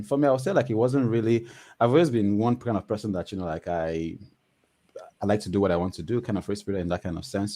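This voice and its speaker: Fast-talking low-voiced man. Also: darkish tone. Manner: chatty, frequent "you know" fillers. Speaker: panel guest. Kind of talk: casual panel discussion.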